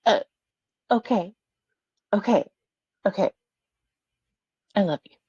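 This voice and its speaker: A woman's voice: medium pitch, lively intonation, slow pace, warm tone, slightly rough, no echo, full character voice. A woman playing a tender, grieving mother in a table read, on muffled audio. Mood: tearful, grieving.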